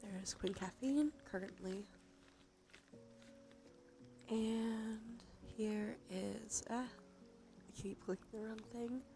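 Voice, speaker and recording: A woman hosting a casual ASMR livestream, chatting softly and narrating what she does, with a breathy voice that often slips into a whisper. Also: on the high side, very slow, long pauses. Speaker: livestream host. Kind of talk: casual ASMR stream chat. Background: background music.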